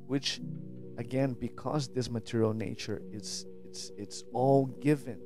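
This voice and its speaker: A man in his forties, raised in Brazil, thinks aloud in a home studio, speaking close to a stand microphone with a steady mid pitch. He talks earnestly, restarting phrases and tossing in "right?" as he searches for words. Soft music plays clearly underneath. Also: unhurried. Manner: earnest, searching, many restarts and repeated "right?", gestures a lot. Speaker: spiritual vlogger. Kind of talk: reflective monologue to camera. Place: home studio with guitars and purple lights. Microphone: studio mic on a stand, headphones.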